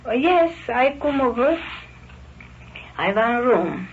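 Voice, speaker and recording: Old lady in her 70s, high slow voice, broken english, noisy 1950s radio tape. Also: big pitch swings. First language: not English. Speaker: member of the public at a police station. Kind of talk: candid conversation with police. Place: police station room. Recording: noisy, dull 1950s field radio recording.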